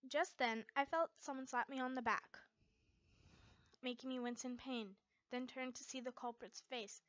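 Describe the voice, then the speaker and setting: A woman reading fan fiction aloud as an amateur, in an expressive storytelling style. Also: sits high, normal speed, no echo, dull audio. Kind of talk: expressive story narration, character dialogue acted out.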